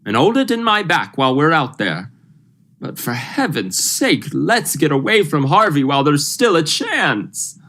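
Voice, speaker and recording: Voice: mid-range voice, sing-song, measured pace, a bit bright, exaggerated voice. A young performer playing an urgent, commanding male doctor in an old-style radio thriller, emphatic and melodramatic. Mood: urgent, impatient. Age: young adult. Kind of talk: 1940s radio thriller acting.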